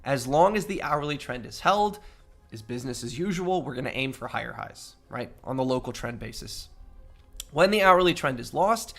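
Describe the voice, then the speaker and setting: A man's voice: mid-range voice, gravelly, fairly bright tone. A man hosting a trading livestream, talking through charts in a casual, explanatory way. He often checks in with "right?" and sounds slightly nasal as he gets over a cold. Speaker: crypto trading livestream host. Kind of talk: casual chart analysis with chat.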